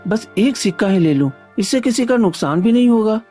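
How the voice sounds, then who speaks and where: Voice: medium pitch, lively intonation, brisk, warm tone, breathy edge, soft background music. A performer playing an honest, kind-hearted young village man in a Hindi moral story, speaking earnestly and devoutly. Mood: tempted.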